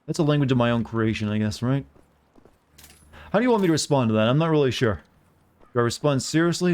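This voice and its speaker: A man's voice: mid-range voice, darkish tone, clear, resonant tone. A man from the southern United States with an American accent, giving casual, rambling live gameplay commentary with jokey, self-deprecating asides to viewers. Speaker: game streamer.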